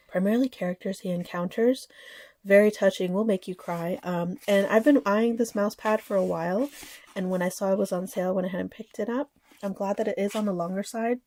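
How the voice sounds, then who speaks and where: A woman's voice: medium pitch, warm tone. A woman in her thirties, sick and a bit nasal, chatting casually about her collection with frequent fillers and small laughs. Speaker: anime collector vlogger. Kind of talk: casual haul chat.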